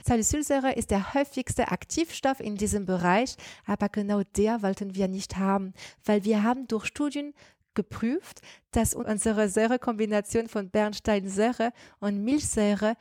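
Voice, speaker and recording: A woman from France speaks German with a French accent at a middle pitch. She explains things patiently, with slightly non-native grammar. The recording is dry and close, with no room sound. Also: normal speed, a little mellow, no room sound. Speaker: product manager guest. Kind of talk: podcast conversation.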